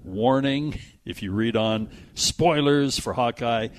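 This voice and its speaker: A man's voice: mid-range voice, slow. A man hosting a movie show, chatting casually and reading out entertainment news with conversational asides. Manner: conversational, reads news items aloud with asides.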